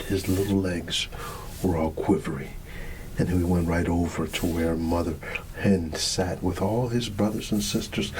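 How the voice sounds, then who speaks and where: A man reading a bedtime story in ASMR style, hushed and drawn out, sometimes dropping into a whisper. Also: on the low side, low-quality recording. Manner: hushed, unhurried, drawn-out words with playful asides. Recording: steady background ambience noise. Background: ambient background sound.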